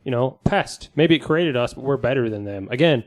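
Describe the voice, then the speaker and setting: A man's voice: medium pitch, lively intonation, a little fast. A man hosting a casual podcast chat in a studio, prodding the panel with questions, joking and swearing freely.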